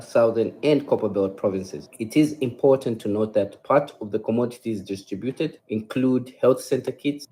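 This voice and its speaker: A man's voice: fairly low pitch, warm tone, low-quality recording. A man with a Zambian accent giving a formal prepared statement as a communications manager.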